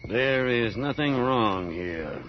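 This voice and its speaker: An official night-watch policeman in a radio drama. He questions slowly and deliberately in a low voice. The recording is dull and faintly noisy. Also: animated. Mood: businesslike. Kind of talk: 1980s radio drama acting.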